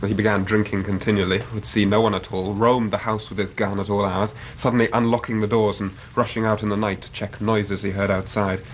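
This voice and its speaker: An actor plays an earnest, worried young Victorian Englishman in a radio drama. His voice sits on the low side and his speech is formal and precise, with faint noise behind it. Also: little pitch movement, brisk, few pauses, natural voice. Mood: earnest, grave. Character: anxious, earnest Victorian young man, grieving son. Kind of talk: radio drama acting, narrating his own story.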